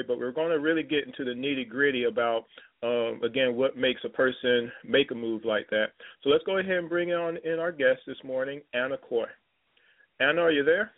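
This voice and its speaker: A man hosting a talk radio show, speaking loosely and casually with many fillers. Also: medium pitch. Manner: loose, filler-heavy, conversational. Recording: tinny phone-like line.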